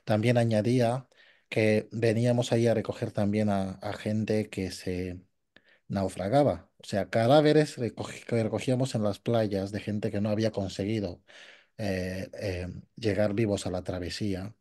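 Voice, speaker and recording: A man's voice: lowish voice, fairly even intonation, normal speed, mellow. A man speaking at a conference, sharing his experience with hesitant speech full of fillers. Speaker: conference participant. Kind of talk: conversational remarks at a conference.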